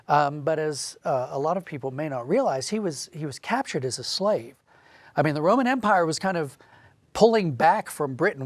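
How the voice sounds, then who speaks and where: Higher-voiced man, informal interview guest. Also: no echo. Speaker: interview guest, audio drama writer. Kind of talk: conversational interview, explaining.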